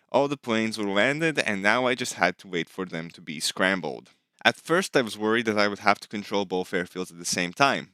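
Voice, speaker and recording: A man with a fairly low, clearly bright voice narrates a scripted gameplay recap. The recording is dry and close, with very little room sound. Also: no room sound. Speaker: video narrator, flight sim hobbyist.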